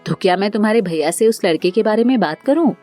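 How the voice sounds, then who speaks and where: A woman's voice: medium pitch, animated, quick pace, dark tone, faint music behind, natural voice. A woman voicing a caring, sensible elder sister-in-law who advises and reassures, in a cartoon family drama. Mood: supportive.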